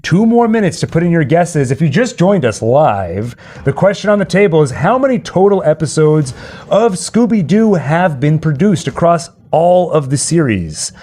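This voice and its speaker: A man's voice: on the high side, very animated, moderate pace, mellow. A man hosting a casual livestream quiz, playful and thinking aloud as he goes. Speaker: host and trivia quizmaster.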